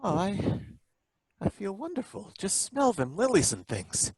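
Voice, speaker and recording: A doomed inspector, drawling and dreamy, lively pitch, dry close sound. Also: male voice, a little slow, breathy edge, no echo, exaggerated voice. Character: dreamy doomed male inspector, eerily calm. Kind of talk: old-time radio drama acting.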